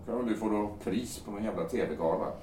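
A man's voice: fairly low pitch, mellow, natural voice. An actor playing a volatile, desperate man in an audio drama, speaking bluntly and sardonically with swearing. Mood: suspicious, sardonic. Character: volatile, suspicious, desperate man threatening to jump. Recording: roomy sound, distant from microphone.

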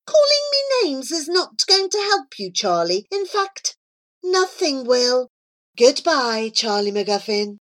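A woman's voice: high-pitched, big pitch swings, takes it slowly, fairly bright tone, pitched above the performer's own voice, heavily acted. A woman voicing a smug, spiteful schoolboy bully with a taunting, sneering manner in a children's story. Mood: gloating, taunting.

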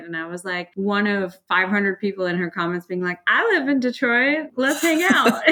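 A woman from India with an Indian accent, a guest telling stories in a casual interview and laughing often. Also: mid-range voice. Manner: animated storyteller, laughs often. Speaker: guest, knitter.

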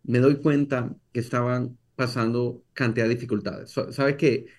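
Male podcast guest, Salvadoran accent, average pitch, tells personal stories conversationally with frequent tag questions. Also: steady tone, normal speed, a little mellow. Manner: repeats phrases, uses tag questions.

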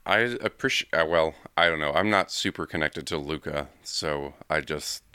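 A man co-hosting a podcast, explaining films in a casual, measured way with asides. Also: very deep voice, bright, forceful tone, clear, resonant tone, no echo, clean studio sound. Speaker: podcast co-host. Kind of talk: casual film discussion.

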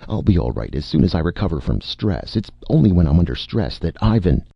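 A man's voice: low-pitched, big pitch swings, brisk, gravelly, breathy edge, no echo, dull audio, pitched above the performer's own voice, heavily acted. A man voicing a cowardly, easily panicked man in a story, with hesitant, stammering delivery and shaky bravado. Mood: pleading.

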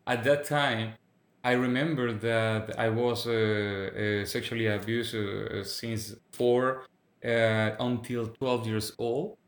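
A man in his thirties from Venezuela with a Spanish accent, speaking English as a guest in a casual interview, halting and restarting his phrases. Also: mid-range voice, flat delivery, roomy sound. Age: 30s. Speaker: guest evangelist.

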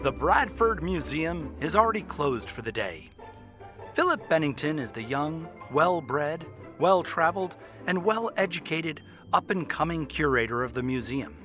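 A man playing the theatrical, deliberate storyteller of a mysterious dream tale in a radio drama. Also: on the high side, lively intonation, fairly bright tone, roomy sound, faint music behind. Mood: theatrical, intriguing. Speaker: narrator. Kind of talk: scripted radio drama narration.

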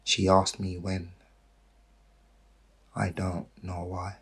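A man reading his poem aloud in short, measured phrases, with a husky voice. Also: low-pitched, completely flat delivery. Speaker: poet reading own poem. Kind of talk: poetry reading.

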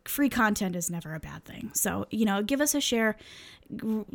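A woman's voice: mid-range voice, animated, moderate pace, creaky. A young woman co-hosting a podcast, chatting casually with lots of fillers and laughs, swearing freely. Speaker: podcast co-host.

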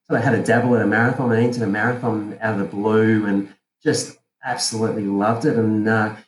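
A man's voice: on the low side, steady tone, a little fast. A man from South Africa, a podcast guest talking casually and hesitantly, with many fillers and restarts. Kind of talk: casual interview chat. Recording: roomy with faint ambient noise.

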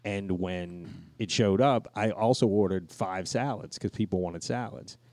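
A man's voice: lowish voice, a little slow, darkish tone, no echo. A man co-hosting a podcast, telling crude, swear-filled stories in casual banter. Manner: crude, profanity-laced. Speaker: podcast co-host.

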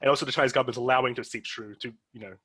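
A man from Australia hosting a casual interview, speaking haltingly with frequent restarts. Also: medium pitch, steady tone, fairly bright tone, husky. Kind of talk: casual conversation.

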